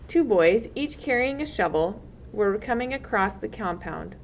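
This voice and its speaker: A woman's voice: mid-range voice, lively intonation, unhurried. A woman reading a storybook aloud as narrator, in the manner of a teacher. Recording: muffled lo-fi recording, loud background noise.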